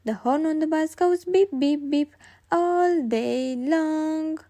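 A woman reading children's stories aloud in an expressive way, singsong in the refrains. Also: high voice, slow. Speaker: children's storybook reader. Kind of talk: read-aloud narration for young children.

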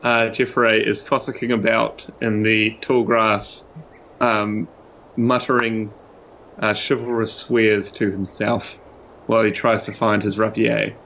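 A man's voice: on the low side, level tone, measured pace, vocal fry, fairly bright tone, highs cut off, a little hiss or hum. A man with a New Zealand accent chatting and narrating casually as a player in a tabletop game. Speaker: tabletop role-playing player.

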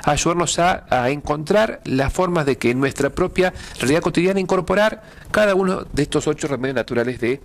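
A middle-aged man with an Argentine accent explains an event in a TV studio, his voice sitting somewhat high. He talks haltingly, stacking fillers and restarts while gesturing as he goes. It is a lapel-mic studio sound. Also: expressive. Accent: Argentine Spanish. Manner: hesitant, many fillers and repeated words. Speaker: pastor and event organizer. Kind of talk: TV studio interview. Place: TV studio with dark curtains.

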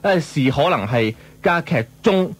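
A man with a Hong Kong Cantonese accent, co-hosting casual comic radio banter in a playful, animated storytelling manner. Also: on the high side, moderate pace, breathy edge. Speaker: radio co-host.

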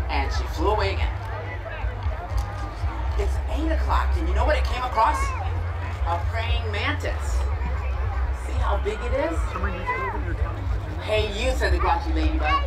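A woman's voice: mid-range voice, roomy sound. A middle-aged woman expressively reading a picture book to children outdoors, asking them questions as she goes. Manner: expressive, asks the listeners questions. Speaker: guest reader. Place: outdoor garden event on a lawn. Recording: distant outdoor mic, loud music and crowd.

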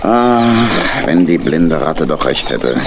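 An actor playing a wistful, homebody old badger uncle in a children's audio play, sighing and grumbling. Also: male voice, very deep voice, big pitch swings, slow, noisy background, full character voice.

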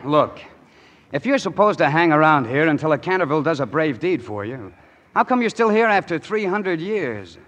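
High-voiced, brash American GI. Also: male voice, expressive, moderate pace, fairly bright tone. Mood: impatient. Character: brash, slangy young American soldier. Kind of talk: 1940s radio acting.

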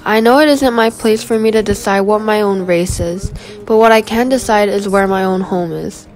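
A fifteen-year-old girl from Guam reading her autobiography aloud as a school presentation, in an earnest, steady voice. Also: medium pitch, moderate pace. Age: teenager, 15. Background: background music.

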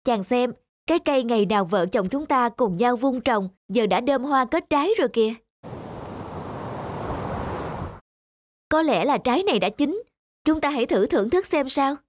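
Woman as gentle, high-voiced devoted young wife. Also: expressive, a little fast, slight background noise, natural voice. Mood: tender. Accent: Southern Vietnamese. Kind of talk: cartoon folk tale reading. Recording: music behind the voice.

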